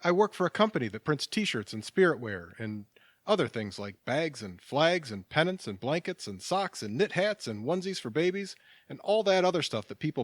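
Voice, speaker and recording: An actor playing a mild, kindly businessman in a naturalistic audio drama, talking in a gentle, measured, slightly hesitant way. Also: medium pitch, no echo, studio quality. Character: mild, settled, kindly businessman, a patient listener.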